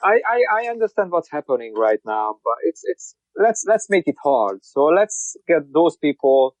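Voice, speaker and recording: A man from Hungary speaking English with a Hungarian accent as a podcast guest, talking in broken, halting sentences with many repeated words and restarts. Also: on the high side, big pitch swings, no room sound, rough recording. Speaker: guest, car wrap shop owner. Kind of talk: casual interview.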